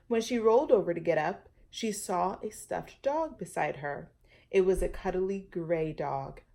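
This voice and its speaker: A woman's voice: fairly low pitch, mellow. Woman reading a storybook to young children. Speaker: storytime reader. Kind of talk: children's read-aloud with chatty asides to young listeners.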